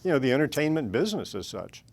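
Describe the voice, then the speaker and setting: A man's voice: medium pitch, very animated, fast. A man hosting an interview, asking questions in a conversational way. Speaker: host. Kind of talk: casual interview chat.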